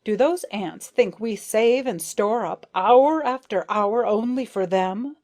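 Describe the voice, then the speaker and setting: A woman voicing a good-natured but excitable male rose beetle with an indulgent, proud manner. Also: medium pitch, measured pace.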